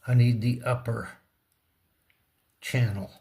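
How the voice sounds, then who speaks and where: Slow-talking man in a hands-on tutorial. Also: mid-range voice, fairly even intonation, dark tone. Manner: halting, in short fragments between pauses; talks to himself and the object. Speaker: repair tutorial presenter. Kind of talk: casual hands-on demonstration, muttering while working.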